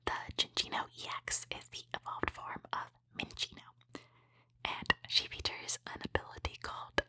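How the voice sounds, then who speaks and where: A woman speaking in a breathy, unvoiced whisper, giving gentle, appreciative ASMR commentary as she hosts a trading card opening. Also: normal speed, no echo.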